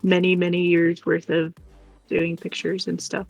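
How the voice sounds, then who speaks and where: A woman's voice: lowish voice, monotone, unhurried, vocal fry, dry recording, lo-fi sound, soft background music. A woman chatting casually and rambling in a giggly way on a livestream while doing crafts. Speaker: live stream co-host.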